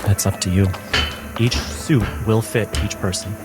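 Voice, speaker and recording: A man narrates a tabletop role-playing game as its game master. His voice is fairly low and his phrasing deliberate and explanatory, with loud music behind him. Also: steady tone.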